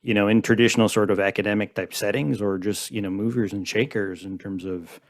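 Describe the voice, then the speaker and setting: A man's voice: fairly low pitch, fairly even intonation, fairly quick. A man in his forties from Montreal hosting a casual podcast conversation, talking in long, rambling sentences full of restarts. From Montreal, Canada. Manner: rambling, many "I mean" restarts. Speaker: podcast host.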